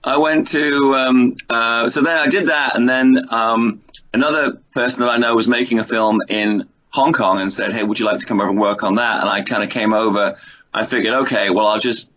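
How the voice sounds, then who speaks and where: A man's voice: medium pitch, steady tone, brisk, fairly bright tone. A middle-aged man, chatting and telling anecdotes as the guest in a radio interview. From England. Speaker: interview guest, film writer and producer. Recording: dull lo-fi sound with clear background noise.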